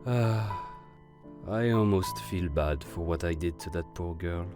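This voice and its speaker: Man playing a deep, suave French con artist. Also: unhurried. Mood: smugly wistful. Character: suave, callous fire-headed male con artist. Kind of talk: audio drama character acting. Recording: music under the voice.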